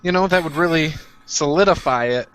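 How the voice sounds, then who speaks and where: Joking male player, bright voice. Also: fairly high pitch, brisk, smooth, low-quality recording. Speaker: tabletop game player and channel host. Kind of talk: casual chat on a game show.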